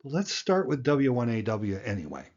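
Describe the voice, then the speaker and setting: A man's voice: mid-range voice, wildly swooping pitch, moderate pace, darkish tone, muffled recording. A man giving a plain-spoken, emphatic tutorial as an instructor, explaining things step by step. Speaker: amateur radio instructor and presenter.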